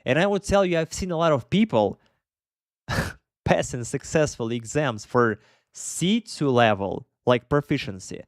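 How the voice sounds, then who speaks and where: A man's voice: mid-range voice, animated, a little slow, no echo, clean studio sound. Chatty man with a Russian accent. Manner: chatty, self-correcting, laughs between points. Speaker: English teacher. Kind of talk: casual live Q&A stream.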